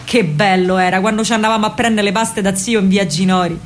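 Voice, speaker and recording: A woman's voice: medium pitch, fairly even intonation, fast talker, a little hiss or hum, close to an everyday voice. An actress playing a feisty, tomboyish young partisan courier, telling her story straight to listeners in a chatty, colloquial Roman accent. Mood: proud, reminiscing. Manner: colloquial, brash, chatty, directly addressing listeners. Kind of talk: theatrical monologue in dialect, storytelling to listeners.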